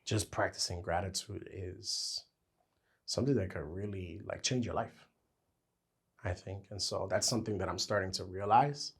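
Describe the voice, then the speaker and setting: A man with a very deep voice co-hosts a relaxed podcast chat. His voice is husky and worn because he is losing it. He finds his thoughts by talking them through. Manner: talks his thoughts out as he goes. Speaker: podcast co-host. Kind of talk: casual chat.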